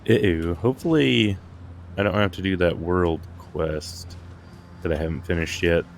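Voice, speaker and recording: Deep-voiced man casually commenting on gameplay. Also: measured pace, gravelly, a little hiss or hum. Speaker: gaming streamer.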